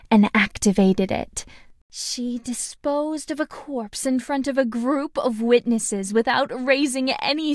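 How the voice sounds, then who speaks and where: A woman voicing a cheerful, friendly schoolgirl in a video game, speaking in a sweet, gentle and deliberate way. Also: a little high, no echo, clean studio sound, lightly acted. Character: cheerful, friendly anime schoolgirl narrating a play. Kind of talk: game tutorial voice acting, storytelling.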